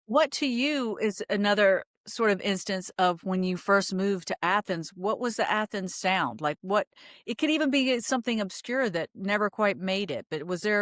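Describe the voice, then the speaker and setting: Female podcast host, medium pitch, dry close mic. Casual, appreciative, rambling conversational delivery, thinking out loud. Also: no echo.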